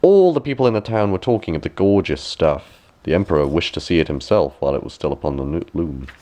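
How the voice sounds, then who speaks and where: A man reading a fairy tale aloud as an expressive audiobook narrator. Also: sits low, fast talker. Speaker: audiobook reader.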